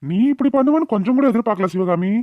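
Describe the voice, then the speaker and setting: A man acts out a village headman in a cartoon, with a notably high voice for a man. He is stern and admonishing and speaks rustic dialect. The track sounds a little dull. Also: animated, rapid-fire. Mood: stern judgment. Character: village council headman, stern uncle passing judgment. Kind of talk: Tamil village comedy cartoon dub.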